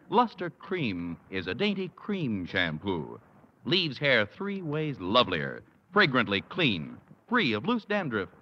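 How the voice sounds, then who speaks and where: A man's voice: mid-range voice, pushed and bright, dull audio. A man announcing and reading commercials for a 1950s network radio comedy in a projecting, salesy manner.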